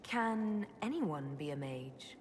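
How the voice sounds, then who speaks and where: A woman's voice: low voice, expressive, takes it slowly. An actress playing a frank, inquisitive adventurer woman in a video game, asking direct, probing questions with composure. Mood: curious. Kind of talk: video game dialogue acting. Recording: reverberant hall with ambient noise.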